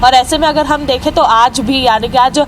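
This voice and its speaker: A woman's voice: sits high, normal speed. A woman correspondent giving a live field report for television news from outdoors. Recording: noisy outdoor urban location with traffic.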